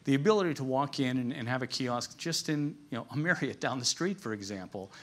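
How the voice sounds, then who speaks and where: A man's voice: mid-range voice, lively intonation, fairly quick. A man giving an informal business presentation at a conference, talking in a conversational, lightly jokey storytelling way. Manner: conversational, jokey storytelling, chuckles at his own asides.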